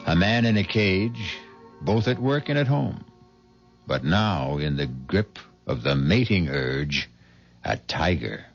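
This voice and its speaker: Man, unhurried dramatic narrator, very expressive, wry, deliberate pauses, 1970s radio, dulled recording. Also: deep voice, gravelly, slightly rough. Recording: dull 1970s radio recording, music behind. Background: music.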